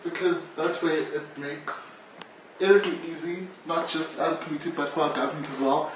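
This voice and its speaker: Higher-pitched South African man, echoey room. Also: fairly even intonation, normal speed. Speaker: interviewee, audio drama creator. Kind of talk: casual interview talk. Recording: echoey room, distant mic, faint noise.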